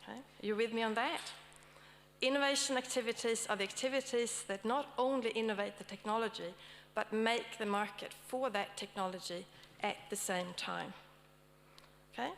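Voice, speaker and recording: A woman's voice: a little high, moderate pace, a bit bright. A woman with a Swedish accent moderating and opening a conference session, checking in with the audience often between fillers. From Sweden. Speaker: session moderator and academic speaker. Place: conference hall. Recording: long hall reverb, faint hum.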